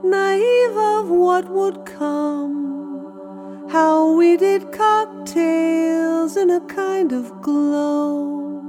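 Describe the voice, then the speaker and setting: A woman singing in a musical theatre style with nostalgic, drawn-out phrasing. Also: extremely high pitch, over music.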